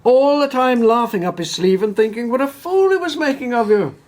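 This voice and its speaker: An actor playing a wry, sardonic Victorian gentleman in a radio drama. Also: extremely high pitch, lively intonation, a little fast.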